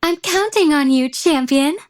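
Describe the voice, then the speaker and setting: A woman's voice: sits high, unhurried. A woman voicing spirited young female trainers in short scripted video game lines. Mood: poised, elegant.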